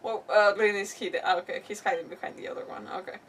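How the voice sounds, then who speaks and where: A woman live streaming a game with giggly, excitable, chatty casual reactions. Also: medium pitch, quick pace, far from the mic, slight background noise.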